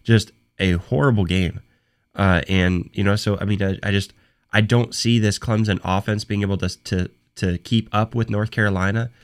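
Hoarse low-voiced man with a cold. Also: steady tone. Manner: halting, many restarts and repeated words. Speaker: podcast host. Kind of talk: solo sports talk podcast.

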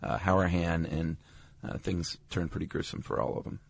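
Dry, low-voiced male podcast host. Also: little pitch movement, normal speed, vocal fry, breathy edge, dry recording. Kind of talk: casual chat, introducing a cast.

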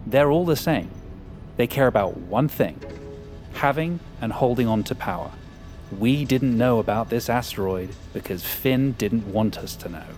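A man's voice: medium pitch, lively intonation, a little slow, darkish tone, close to an everyday voice. A male actor performs an arrogant male hacker who craves power. He talks with smug, sardonic confidence and open cynicism, as in a fiction podcast. Music plays under his voice. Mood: bitter, contemptuous. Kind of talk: audio fiction acting.

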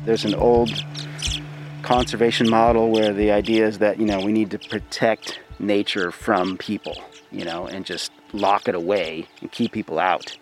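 A man with a lowish voice explains his work as a researcher in a conversational interview. Music plays clearly behind him. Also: fairly even intonation, moderate pace. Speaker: wildlife researcher.

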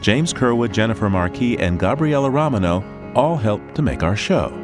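A male radio show host reading scripted announcements and credits in a lively announcer delivery. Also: lowish voice, moderate pace. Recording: voice over music bed.

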